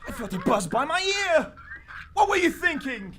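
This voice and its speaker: A man playing an excitable, earnest and naive British gentleman in live radio theater. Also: extremely high pitch, normal speed, full character voice. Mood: alarmed. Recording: live stage recording with faint noise.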